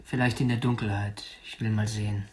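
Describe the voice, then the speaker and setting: Radio drama: prim young abductor, obsessive, husky voice, stiff and matter-of-fact delivery. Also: male voice, lowish voice, flat delivery, brisk, darkish tone, dry recording. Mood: hesitant, guarded. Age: 20s. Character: prim, obsessive young male kidnapper, narrating his deed. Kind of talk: radio drama narration and dialogue.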